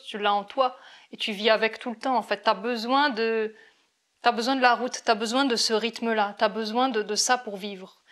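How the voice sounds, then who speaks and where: A woman born in France, an interview guest, talking about her life slowly and reflectively, with many hesitations and restarts. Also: on the high side, a bit bright. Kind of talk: reflective personal testimony.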